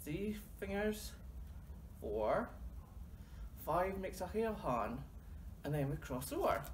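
A man with a Doric Scots accent leading young children through a finger-counting rhyme in a library, gently chatting to a soft toy between verses. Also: high-pitched, sing-song, takes it slowly, a little hiss or hum. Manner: gently coaxing, talks to a toy. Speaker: library storytime leader. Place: library reading corner.

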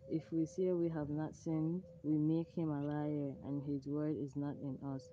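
Woman reading bible verses, low even voice, noise behind her. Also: normal speed, lo-fi sound. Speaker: scripture reader. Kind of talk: formal scripture reading.

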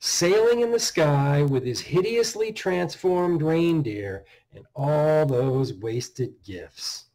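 A man in his fifties giving a dramatic, theatrical reading of a horror story, with measured delivery and deliberate pauses, recorded at a desk in a small room. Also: fairly high pitch, darkish tone, low-quality recording. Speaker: author reading own story. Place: small indoor room at home.

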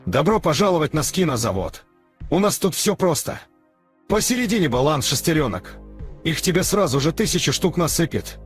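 A man delivers a scripted advertisement with a fairly high voice. He speaks straight to the listener in an upbeat, punchy manner. Light music runs quietly underneath. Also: sing-song, moderate pace. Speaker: advertisement announcer. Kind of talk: scripted promotional narration.

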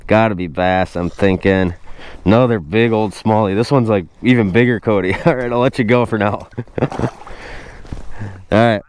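A man hosting a casual fishing vlog outdoors on a frozen lake, recorded on a wearable camera, exclaiming excitedly at each catch. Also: fairly low pitch, normal speed, long pauses, clean tone. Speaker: host. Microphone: wearable action camera.

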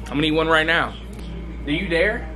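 A man in his thirties vlogging to a handheld camera from a car and a hotel room, telling a rambling, excited story. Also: on the high side, fairly quick. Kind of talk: casual vlog. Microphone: handheld camera at arm's length.

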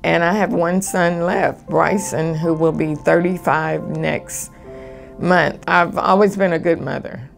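Gravelly 60s woman over soft music. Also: on the low side, fairly even intonation, takes it slowly. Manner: plain, heartfelt personal testimony.

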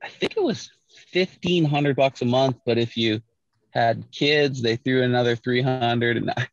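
A man's voice: mid-range voice, a little slow, no room sound. A middle-aged man from Saskatchewan, Canada, telling his story casually on a video call from inside a parked car, with a lot of restarts and fillers. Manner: relaxed, with many restarts and fillers. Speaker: Olympic bobsledder guest. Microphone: video call, laptop or phone camera. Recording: muffled dry video-call audio.

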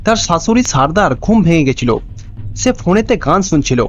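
High-voiced man narrating a story over music. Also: sing-song, moderate pace. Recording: dull lo-fi sound with music. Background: background music.

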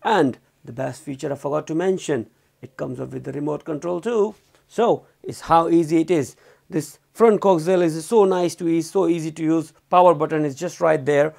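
Higher-pitched man with gravelly fry, reviewing casually. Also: moderate pace, darkish tone. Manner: informal, loosely phrased, repeats himself. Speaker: product reviewer and presenter. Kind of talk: casual product review and demo. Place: indoors, small room at a desk.

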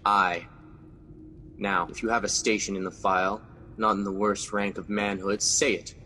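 A man's voice: fairly low pitch, flat delivery, moderate pace, sharp tone, long reverb tail, a little hiss or hum. An actor playing Macbeth, a guilt-ridden scheming king, in a classic radio drama. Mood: commanding. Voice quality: brooding, intense.

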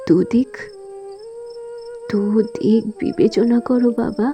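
A woman's voice: fairly high pitch, wildly swooping pitch, slow, dark tone, faint music behind, heavily acted. A woman acting an outspoken young married daughter in a sad audio drama, emotional and pleading, speaking with precocious earnestness. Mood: tearful pleading.

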